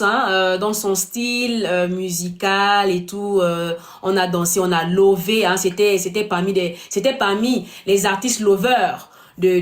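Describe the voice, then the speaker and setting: A woman hosting a casual panel show, often repeating and rephrasing as she puts questions to her guests. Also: mid-range voice. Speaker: host of a web talk show. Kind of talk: casual panel discussion, asking guests for opinions.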